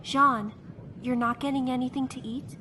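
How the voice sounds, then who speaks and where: Casting: actress as young peacemaker cadet, high voice, sincere, anime drama, echoey hall sound. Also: female voice, fairly even intonation, normal speed, background noise, natural voice. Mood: alarmed, scolding. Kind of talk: anime parody acting.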